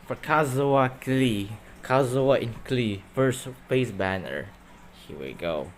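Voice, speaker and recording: A man's voice: medium pitch, expressive, slow, slight background noise. A man hosting a gameplay video with casual commentary in short, clipped remarks. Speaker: gaming video host. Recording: loud game music over voice. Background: game music.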